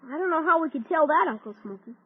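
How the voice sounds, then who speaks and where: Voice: high voice, fast. A performer playing an eager, earnest young boy in an old-time Western radio serial. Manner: excited, earnest. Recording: tinny old radio recording.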